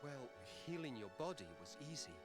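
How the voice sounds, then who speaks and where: An actor playing an earnest, innocent young fantasy hero with a sincere, slightly hesitant manner. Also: male voice, mid-range voice, expressive, fairly quick, music in the background, natural voice.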